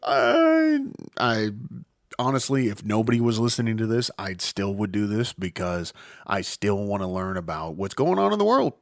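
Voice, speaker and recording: A man hosts his own podcast alone, rambling loosely through the news with plenty of swearing and self-mockery. His pitch swings widely and keeps things animated. The sound is dry and close, with no room around it. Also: moderate pace, no room sound. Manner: rambling, profane, self-mocking, jumps between topics. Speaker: podcast host. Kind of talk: solo casual news podcast.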